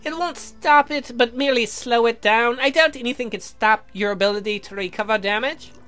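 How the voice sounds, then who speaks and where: A man voicing a polite, pedantic young scientist in a visual novel, speaking formally and haltingly as he explains. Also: very high voice, pushed and bright, voice pushed up. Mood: earnest advice. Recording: music behind the voice.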